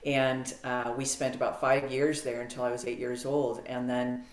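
A middle-aged American woman talking as a podcast guest on a video call, animated and clear with frequent ums. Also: very deep voice, normal speed. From United States. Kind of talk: casual interview storytelling. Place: home office, video call. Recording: roomy video-call audio.

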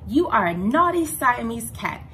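A woman's voice: a little high, big pitch swings, a little slow, bright, forceful tone, slight background noise, heavily acted. A woman voicing a stern but loving mother cat in a children's storybook, bossy and persuasive. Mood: scolding.

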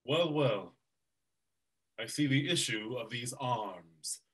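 A man's voice: medium pitch, expressive, takes it slowly, a bit bright, breathy edge, distant mic, full character voice. A man in a video-call Shakespeare reading, playing a weary older uncle who resigns himself to his weakness. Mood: resigned. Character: weary elderly uncle, powerless regent. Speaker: actor. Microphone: video call webcam.

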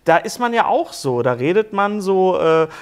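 A middle-aged man hosting a casual talk interview, haltingly repeating words as he builds his questions. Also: high voice, big pitch swings, smooth. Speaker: talk show host, interviewer.

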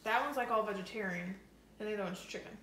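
A woman's voice: medium pitch, fast talker, a bit bright. A woman vlogging casually from her home kitchen, chatty and enthusiastic, with plenty of "like" fillers. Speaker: lifestyle vlogger. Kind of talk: casual chatty vlog talk to camera. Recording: echoey room with faint noise.

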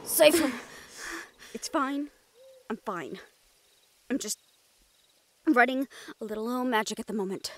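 High-voiced, animated woman in fantasy drama. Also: unhurried, pauses often. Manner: dramatic, sweary banter. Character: tough young woman fighting undead in fantasy battle. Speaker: audio roleplay voice actor. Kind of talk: acted fantasy action audio drama.

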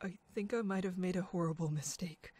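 A woman's voice: fairly low pitch, fairly quick, slightly rough, no echo. A woman playing a timid, polite young boy in an audio drama, stammering and hesitant. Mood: guilty, shaken. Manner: stammering, hesitant, soft-spoken.

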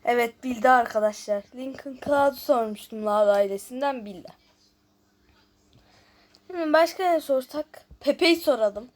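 Higher-voiced woman chatting through a game. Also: moderate pace, a little mellow. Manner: chatty, thinks aloud, reads questions out and answers them. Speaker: YouTube host. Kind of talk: casual gameplay commentary.

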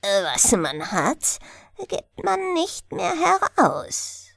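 A woman voicing a sly, miserly old forest witch in a storybook, with knowing, drawn-out muttering. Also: on the high side, huge pitch swings, creaky, a bit bright, no echo, studio quality, full character voice.

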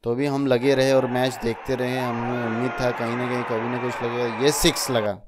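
A man's voice: medium pitch, level tone, normal speed, heavy background noise. A young man narrating his daily vlog in a chatty voice-over, often addressing viewers as friends. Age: young adult. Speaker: vlogger.

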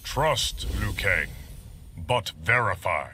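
A man's voice: sits low, animated, slow, very noisy. A man playing a stern, distrustful warrior emperor in a video game, speaking formally and solemnly.

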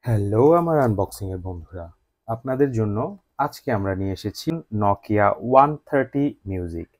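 A man's voice: lowish voice, expressive, moderate pace, a little mellow, no room sound. A man with a Bangladeshi accent narrating a scripted product unboxing review as the channel's host.

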